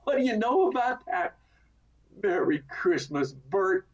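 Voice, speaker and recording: A woman's voice: mid-range voice, sing-song, a little slow. A woman playing an emotional young family man in an amateur radio play, recorded over a video call. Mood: overjoyed. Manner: emotional, exclamatory. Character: George, desperate, then joyful young family man. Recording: muffled video-call audio.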